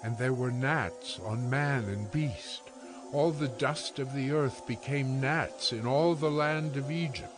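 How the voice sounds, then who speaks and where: A man narrating scripture for a dramatized audio Bible in a measured, solemn storytelling manner. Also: medium pitch, music in the background.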